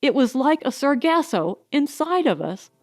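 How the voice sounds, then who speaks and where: A woman's voice: high voice, big pitch swings, normal speed, darkish tone, dry recording, soft background music, voice pushed up. A woman reads the part of a worried husband out in a spacesuit in a science fiction audiobook. The delivery is earnest, strained and plain-spoken, recorded close and dry. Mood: anguished, regretful. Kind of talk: dramatic audiobook character reading.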